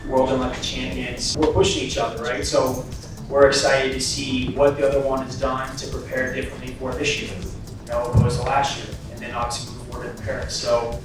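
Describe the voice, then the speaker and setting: A man's voice: medium pitch, monotone, brisk, noisy background. A man answering interview questions as an athlete. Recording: echoey, from far off, loud music.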